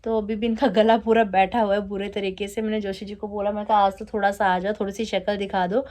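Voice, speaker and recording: A woman's voice: medium pitch, level tone, fairly quick, a little mellow. A woman in her thirties chatting casually in a home vlog, teasing and laughing as she talks to her viewers. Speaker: vlogger. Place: home at night, DJ music playing outside.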